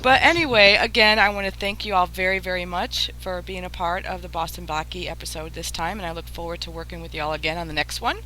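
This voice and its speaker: Bright-voiced American woman, effusive host. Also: lowish voice, fast, hardly any pauses, a little hiss or hum, poor audio. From Massachusetts, USA. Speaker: host of an online radio theater show. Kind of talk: casual group chat and thank-you sign-off.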